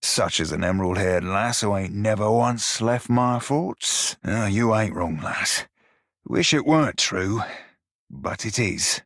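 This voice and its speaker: Husky rogue for a video game, sardonic sailor drawl, rough edges, real dry close recording. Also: male voice, on the low side, a bit bright, no room sound. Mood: pleading, rueful. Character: roguish blue shark-kin pirate, salty, sardonic, foul-mouthed. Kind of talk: video game character dialogue.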